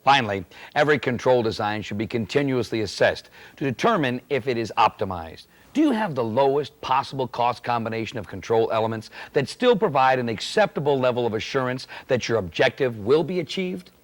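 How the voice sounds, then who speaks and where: A man's voice: medium pitch, big pitch swings, a little fast, few pauses, lightly acted. A man acting as a plain-spoken, emphatic presenter, giving scripted instruction in a corporate training film. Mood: instructive.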